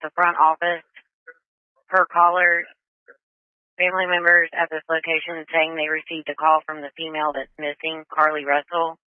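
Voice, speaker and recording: A man's voice: fairly high pitch, monotone, normal speed, no room sound. A man working as a dispatcher, reading out procedural call details over a two-way radio. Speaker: emergency dispatcher. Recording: phone-like narrow-band police radio audio.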